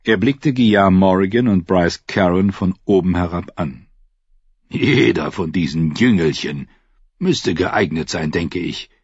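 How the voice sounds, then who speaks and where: A man voicing a scornful, defiant old knight in a slow, husky, mocking tone. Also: low voice, dry recording, muffled recording, heavily acted. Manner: contemptuous, mocking.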